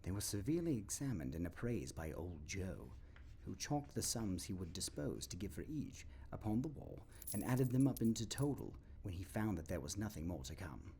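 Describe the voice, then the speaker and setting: A man narrating an audio play as a dramatic storyteller, with ominous, deliberate phrasing. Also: low voice, sing-song, creaky, dark tone, slight background noise.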